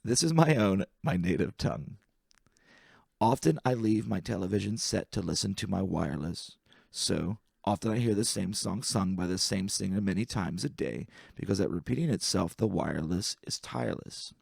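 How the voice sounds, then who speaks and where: A man reading humorous poetry aloud as an amateur, with a deliberate, wry delivery and careful enunciation. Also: low voice, lively intonation, gravelly, a little mellow, slightly rough, no echo, studio quality.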